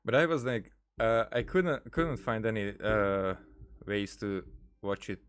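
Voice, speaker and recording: Low-pitched male gaming streamer, halting delivery. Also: animated, fairly bright tone, smooth. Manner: halting, repeats words while thinking aloud. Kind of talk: casual game playthrough commentary.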